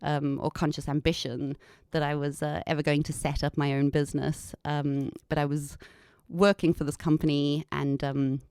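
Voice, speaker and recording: A woman's voice: sits low, level tone, normal speed, few pauses, a little mellow, clear, resonant tone, no room sound, studio quality. A woman in her thirties with an anglicized South African accent, telling her story candidly as an interview guest. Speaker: podcast guest, environmental consultant and business owner. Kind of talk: conversational interview, personal story.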